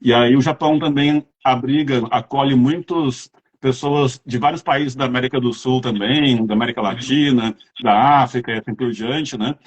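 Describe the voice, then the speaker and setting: A man's voice: mid-range voice, fairly even intonation, moderate pace, dull audio, a little hiss or hum. A man with a Brazilian accent, chatting casually as an interviewer and telling rambling anecdotes. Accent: Brazilian Portuguese.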